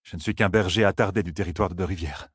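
A flustered young shepherd, acted by a male narrator, shy and fumbling in a fantasy storybook. His voice is quiet, low and husky, with hesitant phrases and a very dry sound. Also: fairly even intonation, fast talker, no echo. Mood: sheepish. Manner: hesitant, fumbling, quiet, breaking off mid-sentence.

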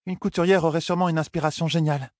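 A man voicing a young noblewoman in an audiobook, earnest and tender, with a soft, coy, careful way of talking. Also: a little high, steady tone, very fast, no echo, clean studio sound, voice pushed up, close to an everyday voice. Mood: polite.

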